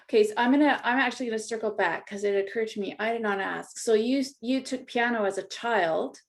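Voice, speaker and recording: A woman's voice: a little high, fairly quick. A woman interviewer asking casual questions, often rephrasing as she goes. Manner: relaxed, stumbling rephrased questions. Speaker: interviewer host.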